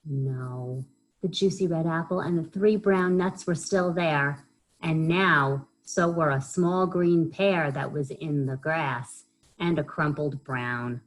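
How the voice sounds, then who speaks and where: A woman reading a children's story aloud as a library storyteller, expressive and often pausing to put questions to young listeners. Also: sits low, poor audio.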